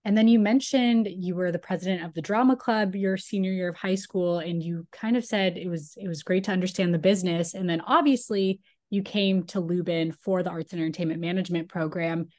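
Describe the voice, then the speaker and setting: A woman's voice: on the low side, steady tone, fairly quick, hardly any pauses, darkish tone. A woman hosting a podcast, reading an introduction and then asking interview questions in an upbeat way. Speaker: podcast host. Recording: faint music behind.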